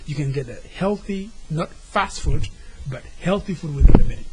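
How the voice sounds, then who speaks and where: A man's voice: on the high side, animated, moderate pace, very noisy. A man from Senegal, a guest talking earnestly and sometimes searching for his words. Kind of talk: casual interview talk.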